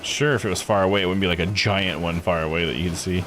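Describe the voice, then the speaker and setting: A man's voice: deep voice, fairly even intonation, fast, noisy background. A man doing a casual let's-play, thinking aloud as he plays. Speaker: video game streamer. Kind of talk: casual let's-play commentary, reading game text aloud. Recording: game music under the voice. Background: video game music.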